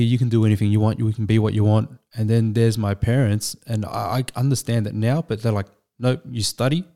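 A man, a Vietnamese speaker, telling his story as a guest in a measured, thoughtful way with frequent restarts. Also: fairly low pitch, mellow. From Australia. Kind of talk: reflective storytelling.

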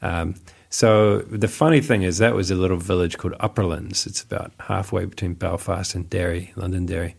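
Man, low voice, gravelly, Kiwi accent with Irish and American traces, chatty, self-deprecating. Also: fairly even intonation, brisk, few pauses. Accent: New Zealand with Northern Irish and American traces. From New Zealand. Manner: chatty and self-deprecating, laughs often, frequent fillers. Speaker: interview guest. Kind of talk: casual conversational storytelling.

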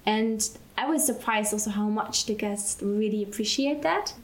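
A young woman from Belgium, a mission school student, answering interview questions in an unhurried way and often pausing to find her words. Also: medium pitch. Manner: unhurried, searching for words, restarts.

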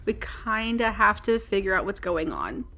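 A woman playing a tabletop game, chatty and quick to laugh. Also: mid-range voice, sing-song, sharp tone. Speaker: player. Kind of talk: casual tabletop play.

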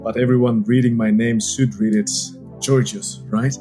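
Man, Greek accent, warm dark voice, careful explainer, podcast guest. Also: mid-range voice, fairly even intonation, moderate pace, soft background music. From Greece. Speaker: podcast guest, exercise scientist. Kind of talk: explanatory interview talk.

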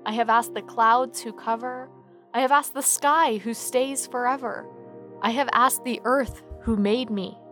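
A woman voicing the moon as a gentle, lovestruck female in a spoken fairy tale, with slow, earnest storybook telling. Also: on the high side, steady tone, soft background music.

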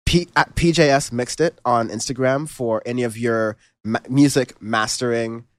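A man's voice: mid-range voice, normal speed, dry recording. Casual male podcast host, dry close mic. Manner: relaxed, rambling, lots of fillers and restarts. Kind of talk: casual music review chat.

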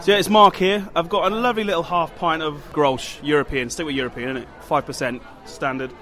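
A man's voice: on the high side, steady tone, a little fast, pushed and bright. A man hosting a podcast with casual banter. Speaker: podcast host. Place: out at a London pub.

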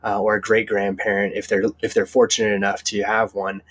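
A man co-hosting a podcast in casual conversation, with a husky, rough-edged voice. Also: lowish voice, level tone, fairly quick, fairly bright tone. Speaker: podcast co-host. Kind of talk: casual chat.

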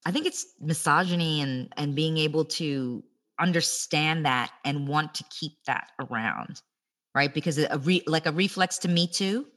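A woman with a notably low voice co-hosts a casual podcast. She speaks in a measured, thoughtful way as she weighs each point. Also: a bit bright. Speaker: podcast co-host. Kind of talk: casual political chat.

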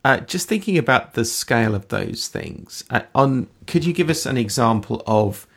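A podcast host with a husky voice and a heavy creak asks questions in a conversational way. The phrasing is tentative, circling back to reword each question. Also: mid-range voice, expressive, normal speed, a bit bright. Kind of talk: conversational interviewing.

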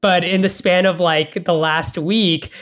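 Brief: host from Bangladesh, mid-pitched, enthusiastic and conversational. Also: steady tone, a little slow, fairly bright tone. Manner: enthusiastic, thinks aloud with repeated words. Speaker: podcast host. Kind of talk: casual interview conversation.

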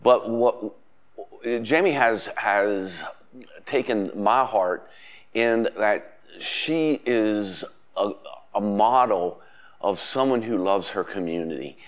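A man hosts a local community TV interview show. His voice sits on the low side, and he sounds enthusiastic but hesitant, leaning on frequent ums and uhs. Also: slow. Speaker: host.

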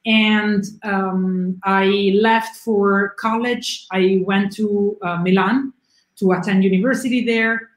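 A woman speaks English as a guest on an interview show. She tells her story fluently and steadily at a middle pitch. Her voice carries a clear room echo. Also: fairly even intonation, takes it slowly. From southern Italy. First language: Italian. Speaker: guest, publisher. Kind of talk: interview answers. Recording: echoey room.

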